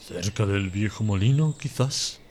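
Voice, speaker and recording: Man playing a sly, low-voiced storybook wolf. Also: a little slow. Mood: sly, flattering. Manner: falsely courteous, flattering, insinuating. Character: sly predatory male wolf, smooth-talking and menacing. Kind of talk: audio fairy-tale drama acting.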